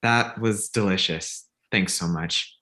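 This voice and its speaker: A man's voice: deep voice, slow pace, sharp tone, heavily acted. A man playing a self-assured, friendly young friend with an easygoing manner in a comedy table read. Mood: polite.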